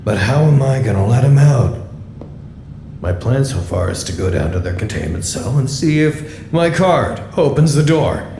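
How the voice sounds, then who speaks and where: A man's voice: mid-range voice, big pitch swings, normal speed, creaky, dark tone. A man playing a frantic, rambling facility worker in an audio drama, searching and talking theatrically to himself. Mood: anxious. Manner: theatrical, rambling, talks to imagined listeners. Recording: echoey room with faint noise.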